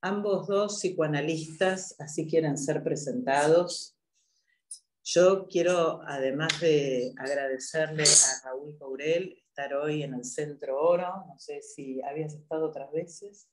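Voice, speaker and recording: A woman moderating a public event, speaking in a measured way with hesitant filler pauses. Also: deep voice, background noise. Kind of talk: introductory talk at a public event. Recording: echoey room.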